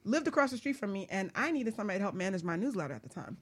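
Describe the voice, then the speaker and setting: Woman, talk show host, casual conversational advice, animated storyteller, mid-range voice, slips into quoted voices. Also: medium pitch, racing. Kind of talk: casual advice chat with anecdotes.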